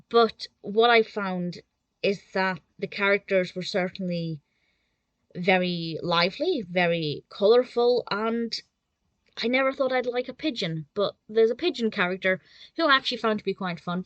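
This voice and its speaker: A woman delivers a casual review in a chatty, enthusiastic way. Her voice sits at an average pitch for a woman. The sound is dry, with very little room around it. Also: a bit bright, no echo. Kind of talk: casual spoken review to camera.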